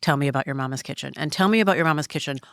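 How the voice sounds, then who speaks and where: A woman in her sixties from Minnesota with a low, smoky voice, speaking softly and reflectively as a podcast guest. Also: very fast, no room sound, clean studio sound. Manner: soft-spoken, reflective storyteller. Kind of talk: casual conversation. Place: recording studio.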